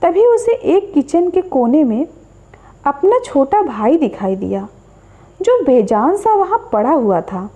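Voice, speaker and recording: A woman narrating a scripted horror story as a storyteller, with an expressive delivery. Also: high voice, slow.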